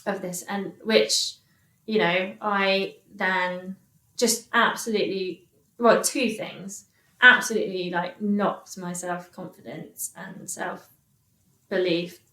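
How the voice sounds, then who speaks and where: A woman in her thirties talks through a personal story as a podcast guest. She speaks at a middling pitch, stumbling and restarting often, quoting back what others said. The room around her rings with echo. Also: fairly even intonation, sharp tone. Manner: halting, many restarts and fillers, quotes others. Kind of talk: candid interview storytelling. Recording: echoey room.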